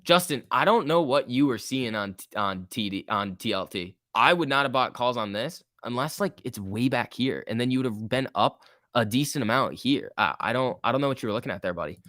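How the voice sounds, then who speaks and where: A man's voice: medium pitch, animated, a little fast, a bit bright, dry recording, clean studio sound. A man in his early twenties hosting a casual trading livestream, chatting informally and joking as he reads and answers viewer comments. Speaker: livestream host.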